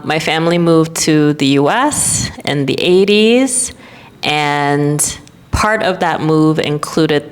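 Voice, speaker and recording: A woman from Florida, an herbalist, telling her personal story to camera in a candid, reflective, unhurried way. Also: deep voice, clean tone. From Florida, United States.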